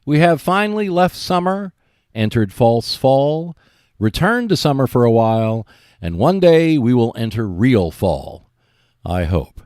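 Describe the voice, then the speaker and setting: A man's voice: mid-range voice, sing-song, a little slow, darkish tone, clean tone, no room sound, clean studio sound. A man hosting a podcast, reading scripted narration.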